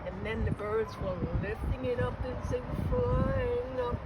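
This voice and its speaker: A woman's voice: on the high side, steady tone, a little slow, heavy background noise. A woman telling a children's story expressively, drawing out key words.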